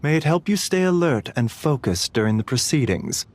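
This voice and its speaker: An actor playing a courteous, composed young male healer with a polite, measured, formal manner in a video game cutscene. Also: a little high, big pitch swings. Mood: solemn, caring.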